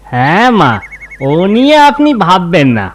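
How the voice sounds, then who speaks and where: A man's voice: high voice, big pitch swings, measured pace, a little hiss or hum. An actor playing a respectful, willing village workman in a cartoon, with a reassuring, deferential manner. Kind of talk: cartoon voice acting.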